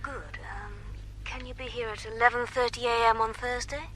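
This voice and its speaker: An actress playing a businesslike female office secretary in a radio drama, brisk and formal. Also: a little high, fairly even intonation, bright, forceful tone. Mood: brisk, polite.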